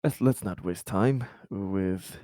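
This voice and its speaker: A man's voice: low-pitched, slow pace, dark tone, dry recording, clean studio sound. A man playing a tabletop roleplaying game, chatting partly in character with slightly formal phrasing. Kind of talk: casual in-character game chat.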